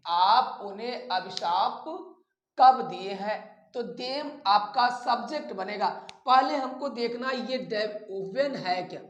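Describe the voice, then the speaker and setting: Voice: mid-range voice, takes it slowly, a bit bright. A teacher with a North Indian accent explaining grammar in an instructive way, repeating phrases and posing questions to students. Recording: roomy, echoey sound.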